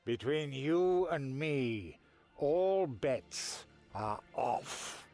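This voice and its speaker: An actor playing a sly, spiteful villain in a fantasy game, with a mocking, theatrical drawl. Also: male voice, mid-range voice, expressive, very slow, darkish tone, soft background music. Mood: threatening, sly. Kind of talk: scripted fantasy game dialogue.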